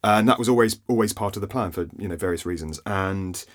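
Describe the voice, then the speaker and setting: A man's voice: low-pitched, quick pace. A man talking as a writer in a casual podcast chat, rambling with many restarts.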